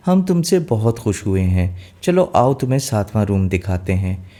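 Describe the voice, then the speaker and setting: A man's voice: fairly low pitch, very animated, moderate pace, warm tone. A man playing a kindly female fairy who gives instructions in a storybook tale. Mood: pleased.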